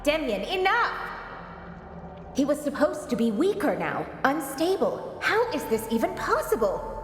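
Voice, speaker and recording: An actress playing a commanding, alarmed villainess in a video game cutscene, with an urgent, dramatic, imperious delivery. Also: female voice, high-pitched, big pitch swings, a little slow, echoey room, long reverb tail, over music. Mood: distressed. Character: commanding, alarmed villainess wrestling her inner demon.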